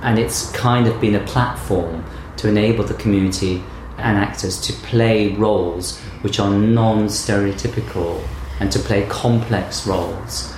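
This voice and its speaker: Low-voiced man in an echoey room. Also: fairly even intonation, unhurried, few pauses, darkish tone. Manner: thoughtful, reflective storytelling. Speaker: theatre director. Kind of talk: interview conversation. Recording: echoey room with faint background noise.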